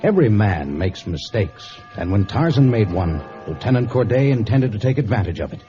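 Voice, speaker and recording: An old, muffled radio recording with faint music behind a man narrating a drama. His voice is mellow and his scripted storytelling deliberate, with wide swings in pitch. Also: very animated. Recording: dull vintage radio recording.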